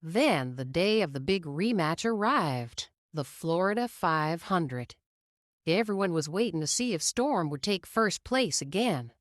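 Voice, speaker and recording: A woman reading a children's picture book aloud in a slow, expressive storytelling manner. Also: lowish voice, no room sound, clean studio sound.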